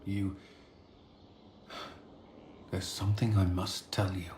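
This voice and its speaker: A dutiful knight commander in a fantasy game, grave and formal. His deep voice moves haltingly in an echoey room with faint noise. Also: level tone, a little mellow. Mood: solemn confession. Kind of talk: dramatic fantasy game voice acting.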